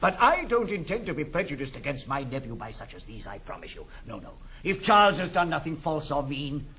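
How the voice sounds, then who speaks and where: An actor playing a bluff, jovial old British uncle in a period stage comedy, chuckling and exclaiming in a hearty manner. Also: male voice, a little high, very animated, a little fast, bright, forceful tone, full character voice. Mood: indignant. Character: bluff old uncle back from the East Indies, jovial, blunt. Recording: noisy 1960s studio album.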